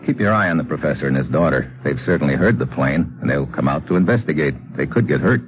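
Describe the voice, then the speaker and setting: Deep-voiced hard-boiled American radio hero. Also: male voice, fast, background noise. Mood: wry, brisk. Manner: clipped, hard-boiled, deadpan. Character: tough, wry American male adventurer hero narrating his mission. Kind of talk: 1950s radio adventure acting and narration. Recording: dull old radio recording, music behind.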